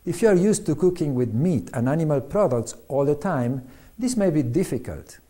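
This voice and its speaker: A man reads a prepared talk on eating well. His voice sits a little high for a man, but it is mellow and warm in tone. He speaks in a steady, instructive manner. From Argentina. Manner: measured, instructive. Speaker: doctor giving diet advice.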